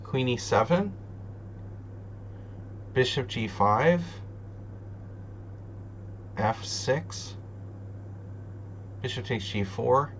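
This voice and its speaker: A man streaming chess, thinking aloud in casual, deliberating commentary, muttering to himself between pauses. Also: medium pitch, lively intonation. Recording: dull lo-fi sound, loud hum.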